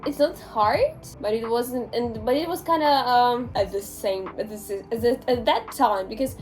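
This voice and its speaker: An eighteen-year-old Ukrainian woman with a Ukrainian accent, answering interview questions in a talkative, animated way, often restarting her sentences. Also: a little high, moderate pace, faint music behind. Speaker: interviewee, English teacher.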